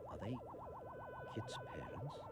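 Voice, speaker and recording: A man's voice: medium pitch, wildly swooping pitch, slow, over music. A man playing an anxious cartoon scientist, fussy and hesitant in his speech. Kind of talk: animated adventure series acting.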